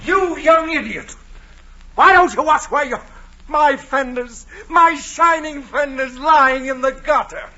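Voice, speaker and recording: An actor playing a pompous, bad-tempered middle-aged principal with an American accent in a 1940s radio comedy. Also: male voice, extremely high pitch, slow, bright, forceful tone, heavily acted. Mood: outraged. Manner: barking, pompous, exasperated. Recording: dull old 1940s radio recording.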